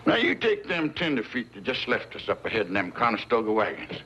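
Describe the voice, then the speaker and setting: Folksy old frontiersman, American frontier drawl, lively rise and fall, rambling, dull noisy radio western recording. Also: fairly low pitch, fairly quick. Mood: folksy, philosophical. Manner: rambling, homespun, breaks into humming and song. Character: folksy, garrulous old frontiersman, fond of his rifle.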